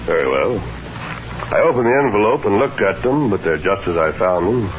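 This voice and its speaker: An actor playing a bold, calm masked lawman in a radio Western, with measured, authoritative, formal diction. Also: deep voice, lo-fi sound. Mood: calm, firm. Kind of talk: old-time radio Western acting. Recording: noisy band-limited old radio recording.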